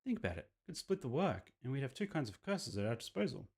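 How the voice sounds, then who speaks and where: A man's voice: mid-range voice, very animated, quick pace, creaky, a little mellow, slightly rough, pitched above the performer's own voice, exaggerated voice. A man reading aloud a cocky, boastful rival curse bearer from a horror mystery visual novel, with taunting menace. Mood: scheming, persuasive.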